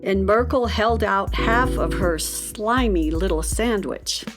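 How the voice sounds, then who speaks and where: A woman's voice: medium pitch, slow, over music. A woman narrator reading a scripted children's story.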